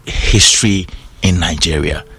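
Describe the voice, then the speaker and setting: A man's voice: low-pitched, unhurried, vocal fry, sharp tone, husky. A man from Ghana, hosting a casual interview and asking curious questions. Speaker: interview host.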